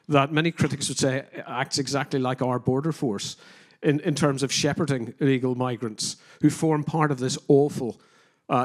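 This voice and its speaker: A man with a Northern Irish accent, speaking as a criminologist on a conference panel, forceful and opinionated with frequent fillers and restarts. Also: mid-range voice. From Northern Ireland.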